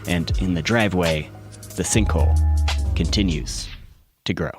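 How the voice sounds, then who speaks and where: A man's voice: low-pitched, moderate pace, vocal fry, loud music behind the voice. A man reading scripted documentary narration as a podcast host.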